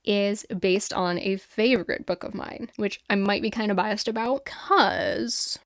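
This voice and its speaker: Chatty, animated woman, dry close sound. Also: mid-range voice, a little slow, no room sound. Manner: animated, exclamatory, rambling asides. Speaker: host of a paranormal story show, author. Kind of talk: chatty commentary and reading listener stories aloud.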